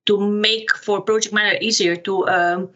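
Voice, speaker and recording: Bright, halting Serbian-accented woman. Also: mid-range voice, steady tone, lo-fi sound. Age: middle-aged. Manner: halting, searching for words, non-native phrasing. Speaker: podcast guest, project management officer. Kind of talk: conversational interview answers.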